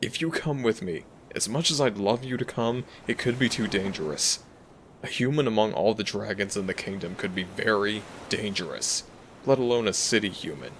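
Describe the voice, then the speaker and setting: A man's voice: on the low side, expressive, normal speed, a little hiss or hum. A man voicing a protective, tender and worried dragon prince with earnest, formal phrasing, in a romantic audio roleplay. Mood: urgent, protective.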